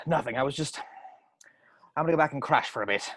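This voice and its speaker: A man's voice: a little high, fast talker, fairly bright tone. A man reading the part of an earnest, driven police sergeant in a table read over a video call. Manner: earnest, clipped, urgent.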